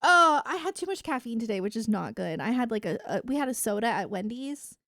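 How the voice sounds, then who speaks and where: A woman's voice: a little high, lively intonation, fairly quick, sharp tone, no echo, studio quality. A woman livestreamer chatting casually with her viewers in an enthusiastic, emphatic gamer way. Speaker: video game livestreamer.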